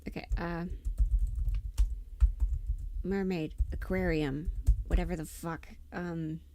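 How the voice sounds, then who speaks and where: A woman's voice: fairly low pitch, level tone, takes it slowly, darkish tone, slight background noise. A woman chatting casually on a livestream, scattered and giggly, thinking aloud as she goes, close to the mic. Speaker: livestream host and audio performer.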